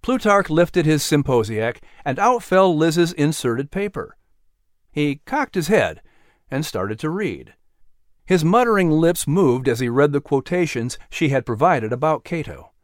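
A man narrating a scripted story as an audiobook, in a measured, expressive storytelling manner. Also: fairly high pitch, no room sound, studio quality.

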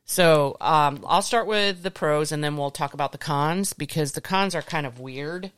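An American podcast host with a slightly gravelly voice, talking casually and explaining points from notes in an organized way. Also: mid-range voice, normal speed, a bit bright, no room sound.

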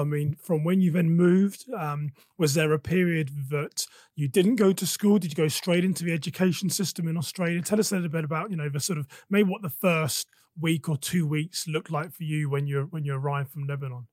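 A man's voice: fairly high pitch, fairly quick, hardly any pauses, darkish tone, dry recording, clean studio sound. A man hosting a podcast, introducing his guest and asking chatty, open-ended questions. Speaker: podcast host. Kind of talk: conversational podcast interviewing.

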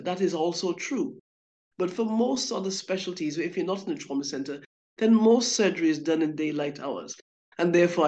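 A middle-aged woman from Guyana, schooled in England, giving a measured account of her career as an interview guest. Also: low voice, a little mellow, poor audio. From born in Guyana, schooled in England. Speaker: interview guest, consultant surgeon.

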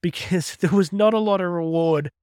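A man, giving an energetic, conversational motivational podcast talk on his own and often restarting his words. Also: high voice, normal speed, clean tone, no echo, studio quality. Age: 50s. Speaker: motivational speaker. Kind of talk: solo motivational podcast monologue.

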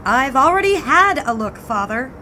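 An actress playing a poised 1920s newspaper editor, arch and playfully commanding, in a period audio drama. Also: female voice, sits high, sing-song, moderate pace, heavy background noise. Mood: brisk, commanding.

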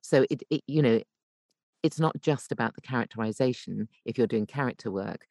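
A British woman talking conversationally as an interview guest, explaining things in an animated, emphatic way from a home voice booth. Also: very deep voice, a little fast, creaky, no echo, studio quality. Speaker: podcast guest, voice actor and coach.

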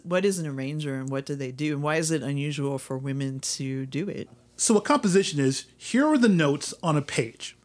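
A man with a fairly high voice talks through an idea in a relaxed, conversational way. He poses questions and then answers them himself, walking the listener through step by step. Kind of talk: conversational explanation.

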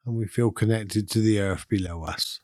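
A man leading a guided meditation in a calm, soothing, unhurried manner with long pauses between phrases. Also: lowish voice, no room sound, clean studio sound. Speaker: meditation guide and podcast host. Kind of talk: guided meditation, gentle instruction.

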